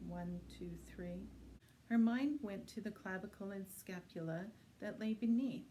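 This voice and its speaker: Mellow-voiced woman reading a novel aloud. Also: a little high, slight background noise, low-quality recording. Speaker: library reader introducing and reading a book aloud. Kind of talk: audiobook-style reading of a thriller novel.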